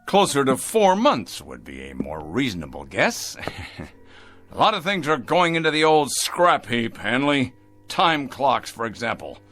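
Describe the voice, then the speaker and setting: An actor in a radio drama playing a smug, sardonic factory boss zealous about machines, with grand mockery. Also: male voice, mid-range voice, very animated, unhurried, a bit bright. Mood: smug, gloating. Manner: sardonic, grandly mocking, repeating words. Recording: radio drama with music underneath.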